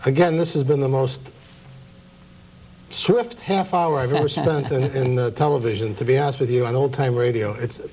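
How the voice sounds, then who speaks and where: A man's voice: mid-range voice, normal speed, a little mellow, slight background noise. A middle-aged man, hosting a local cable talk show in a studio. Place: small TV studio at a table.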